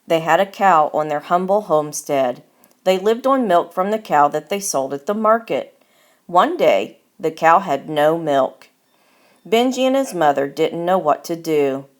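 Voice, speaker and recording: A woman reading a children's story aloud as its author, in deliberate, expressive scripted narration. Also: lowish voice.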